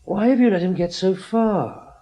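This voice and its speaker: A man's voice: high-pitched, normal speed, warm tone, close to an everyday voice. A man playing a defiant, sceptical Western intelligence officer in a radio drama, with a dry, terse, challenging delivery. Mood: dryly defiant.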